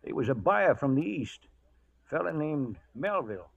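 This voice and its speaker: An actor playing a folksy old cowhand who narrates a cattle drive in 1940s radio style, drawling and ungrammatical. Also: male voice, on the high side, big pitch swings, normal speed, vocal fry, slightly rough, full character voice. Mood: proud, storytelling. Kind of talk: dramatic first-person narration, 1940s radio. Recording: dull old radio, loud music underneath.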